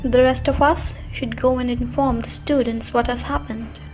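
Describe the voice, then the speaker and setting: Woman doing a stern older lady professor, high voice, formal and commanding, music under it, audio drama. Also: fairly even intonation, moderate pace, darkish tone, natural voice. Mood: crisp, resolved.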